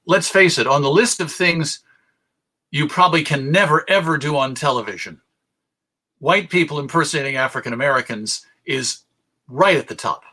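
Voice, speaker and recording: A man presenting a history lecture in a measured, deliberate way, pausing for emphasis. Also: a little high, pushed and bright, breathy edge.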